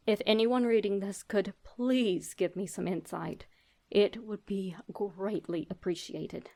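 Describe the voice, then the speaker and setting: Female horror narrator, medium register, deliberate dramatic delivery for scripted tales. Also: medium pitch, gravelly. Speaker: host and narrator of horror stories.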